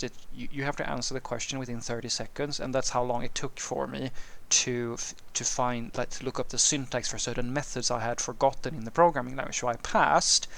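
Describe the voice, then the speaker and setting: A man's voice: medium pitch, a bit bright, clean tone. A man from Sweden whose first language is Swedish, talking casually and unscripted about programming, rambling with many restarts and fillers as he answers a viewer's question.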